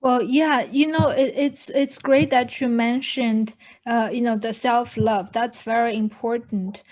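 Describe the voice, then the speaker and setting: A woman from China hosts an interview on a video call, her voice pitched a touch high. She talks earnestly, with fillers and restarts as she shapes her questions. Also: fairly even intonation, unhurried, a little mellow. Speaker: host. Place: home room with pictures on wall. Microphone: video call, earphone mic.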